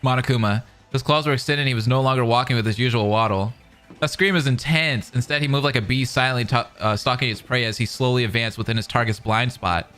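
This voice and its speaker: Bright-voiced, animated male reaction streamer. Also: medium pitch, quick pace, hardly any pauses, clean tone. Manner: animated, dramatic. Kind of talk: reading narration aloud with excited commentary. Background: faint music.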